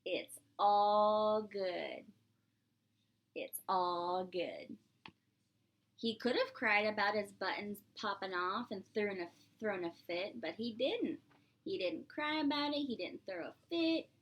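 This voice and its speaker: A woman preschool teacher reading aloud to young children, expressive and playful, explaining simply and asking questions as she goes. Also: mid-range voice. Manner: expressive, drawn-out, playful exclamations.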